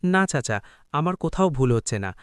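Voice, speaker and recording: Male actor as stubborn young cartoon suitor, earnest, firm, higher-pitched, dry sound. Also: sing-song, normal speed, clear, resonant tone, no echo. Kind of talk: Bengali cartoon voice acting.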